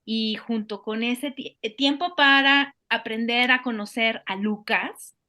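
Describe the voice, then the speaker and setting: A woman's voice: fairly high pitch, slow pace, pushed and bright. A woman hosting an interview, responding thoughtfully and building on her guest's points. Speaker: host.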